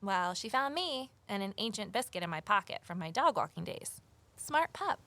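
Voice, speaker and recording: An actress playing a wary, determined amateur sleuth in a naturalistic audio drama, conversational and gently wry. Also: female voice, medium pitch, sing-song, normal speed, dry recording. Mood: friendly, curious. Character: Evelyn, wary determined former journalist turned amateur sleuth. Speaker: lead character and first-person narrator.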